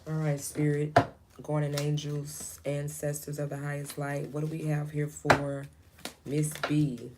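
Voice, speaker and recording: A woman giving a personal tarot reading in a low, light, calming voice, talking gently to spirit and to her client without a clip-on mic. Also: unhurried, very noisy. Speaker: tarot reader. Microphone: camera mic, no lapel mic.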